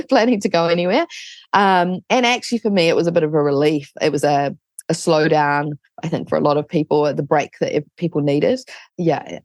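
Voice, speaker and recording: Female interview guest, New Zealand accent. Deep-set voice with vocal fry, informal and chatty. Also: darkish tone, no echo, clean studio sound. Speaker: interview guest, online business coach. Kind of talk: casual interview chat.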